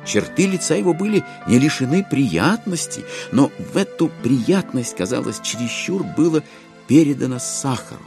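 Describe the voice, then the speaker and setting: Wry literary narrator, lowish creaky voice, ironic deliberate phrasing, lively intonation. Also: male voice, soft background music. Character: wry author-narrator confiding in the listener. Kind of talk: theatrical literary narration in an audio drama.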